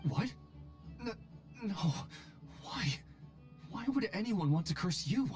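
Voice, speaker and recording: An actor voicing an earnest, fretful colleague in a video game, speaking politely but emphatically. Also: male voice, high voice, lively intonation, takes it slowly, soft background music. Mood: exasperated, indignant. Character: earnest, fretful male colleague, concerned and exasperated.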